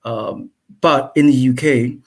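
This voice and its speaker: Halting man giving study tips. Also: mid-range voice, steady tone. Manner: halting, many restarts and fillers. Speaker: guest. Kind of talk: conversational advice over a call.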